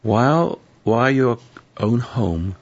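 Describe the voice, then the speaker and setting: A hoarse man hosting a live call-in radio show, rambling and preachy with a sardonic edge. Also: medium pitch, expressive, takes it slowly. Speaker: radio talk show host. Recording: dull lo-fi radio broadcast.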